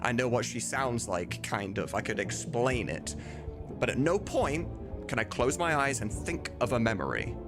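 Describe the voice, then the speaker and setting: A man's voice: mid-range voice, sing-song, normal speed. A man chatting casually on a livestream, talking candidly in a rambling way and swearing now and then. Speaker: streamer. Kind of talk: casual livestream chat answering viewers. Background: music.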